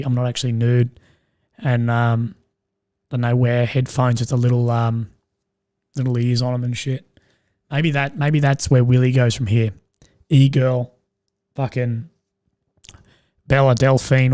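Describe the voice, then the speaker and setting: A young man with a strained, slightly croaky voice, rambling and swearing as he chats with viewers on a live stream. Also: mid-range voice, darkish tone, no echo, clean studio sound. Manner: rambling, swears often, laughs at own jokes. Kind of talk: casual live stream chat.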